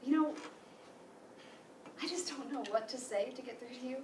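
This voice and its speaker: An actress playing a hurt, bitter, fed-up sister in a staged play reading. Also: female voice, high voice, moderate pace. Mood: hurt, resolute. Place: meeting room staged reading, distant camera. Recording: echoey room from far off, noisy.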